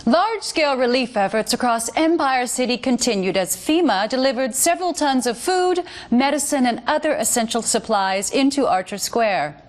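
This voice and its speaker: A woman plays an American television news anchor from a video game. Her voice sits a little high, and she reads scripted bulletins in a formal, emphatic way. She sounds polished and earnest, every phrase official and measured. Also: expressive, natural voice. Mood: upbeat, official. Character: polished, earnest propaganda TV newswoman.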